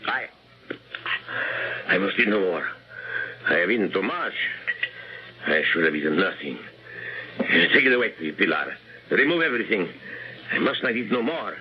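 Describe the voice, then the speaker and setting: Casting: self-pitying, greedy old despot, grandiose and halting, very animated pitch, muffled vintage radio sound. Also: male voice, a little hiss or hum. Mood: remorseful. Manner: halting, repetitive, grandiose delivery. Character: gluttonous, self-pitying aging dictator of a Latin country. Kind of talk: old-time radio drama acting.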